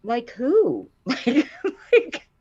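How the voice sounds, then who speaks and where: A middle-aged woman with a low voice, a voice actor chatting in an interview and acting out the quotes in her stories. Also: extremely slow, breathy edge, highs cut off. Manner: animated storyteller, acts out quotes with rising pitch. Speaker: voice actor guest. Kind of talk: casual interview chat.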